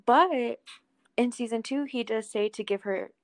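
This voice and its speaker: A woman hosting a casual podcast chat about a TV show, informal and animated in her reactions. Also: on the high side, unhurried, a crowd in the background. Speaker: podcast host.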